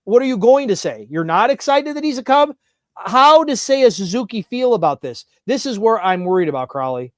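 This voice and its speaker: High-voiced man hosting a sports podcast. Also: very animated, moderate pace, no room sound, clean studio sound.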